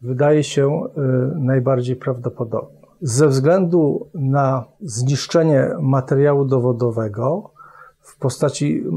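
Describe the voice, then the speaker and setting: Slow, measured man prosecutor. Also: medium pitch, dark tone. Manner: slow, measured, official phrasing with hesitations. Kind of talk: formal explanation to camera. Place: office with bookshelves. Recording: music bed underneath.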